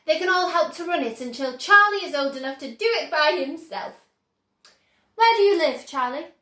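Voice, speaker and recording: A woman's voice: sits high, lively intonation, moderate pace, bright, forceful tone, echoey room, pitched above the performer's own voice. A woman voicing an eccentric, exuberant old man who owns a chocolate factory, speaking earnestly and emphatically in a storybook reading. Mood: excited.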